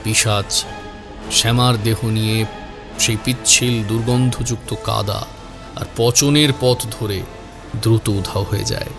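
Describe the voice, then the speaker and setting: A man's voice: fairly low pitch, steady tone, unhurried, gravelly, pauses often, over music. A man narrating a scripted horror story in a dramatic style.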